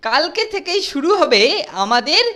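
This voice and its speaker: A boastful cartoon teenage boy, played by a woman lords it over his juniors. His high voice swoops up and down as he lectures in mock-grand tones. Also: animated, moderate pace, sharp tone. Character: boastful bossy teenage boy, self-important leader. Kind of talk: cartoon dub acting.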